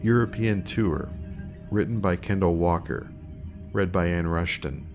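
A man's voice: low voice, measured pace, over music. A man reading scripted announcements as a podcast presenter.

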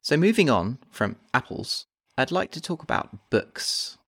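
A man hosting a conversation, calmly guiding his guest through imaginative exercises with slow, listed prompts. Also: mid-range voice, vocal fry, slightly rough, no echo, clean studio sound. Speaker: host.

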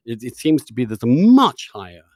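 A man hosting a conversational, debate-like interview, probing and often halting as he repeats words while forming his points. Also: mid-range voice, big pitch swings, a little mellow, dry recording, studio quality. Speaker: podcast host and interviewer.

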